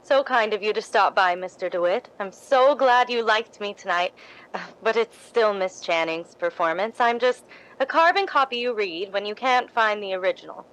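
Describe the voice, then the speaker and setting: A woman's voice: medium pitch, moderate pace, a bit bright, close to an everyday voice, rough recording. Woman playing a coy young stage actress. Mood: falsely modest. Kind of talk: old-time radio drama acting.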